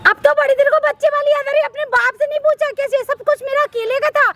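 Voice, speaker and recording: A woman playing a young wife who begs and argues in a shrill voice. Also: fast talker, a bit bright. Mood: indignant. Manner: pleading, shrill. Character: young wife, desperate and pleading.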